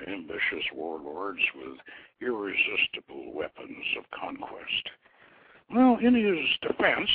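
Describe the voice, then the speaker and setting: A man hosting a radio show, speaking in halting, deliberate phrases with a husky voice, as storyteller and presenter. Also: on the low side, slight background noise. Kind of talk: informal show introduction and dramatic story reading. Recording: tinny phone-line radio sound.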